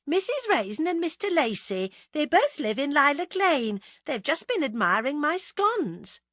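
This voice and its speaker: A woman's voice: sits high, very animated, unhurried, fairly bright tone, no echo, pitched above the performer's own voice, exaggerated voice. A woman voicing a fragile, nervous, wistful village wife in an audiobook, in an emotional, fluttery manner. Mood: nervously placating. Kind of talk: audiobook character acting.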